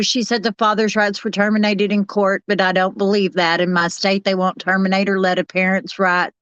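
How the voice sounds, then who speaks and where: A woman panelist in a casual discussion, reading questions and answering in a measured, tentative way. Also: mid-range voice, clean tone, no echo. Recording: slightly dull lo-fi sound.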